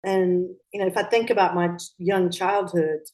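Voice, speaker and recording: A woman's voice: on the low side, level tone, moderate pace, darkish tone. A woman from Pakistan who grew up in Atlanta, speaking as a guest in a conversational interview, reflective and often restarting her sentences. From Pakistan, raised in Atlanta, Georgia. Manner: reflective, with frequent restarts and fillers.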